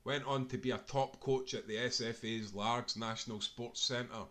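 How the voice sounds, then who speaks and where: A man's voice: mid-range voice, steady tone, moderate pace, bright, forceful tone. A Scottish man reading a scripted sports history book aloud, as an author narrating his own work.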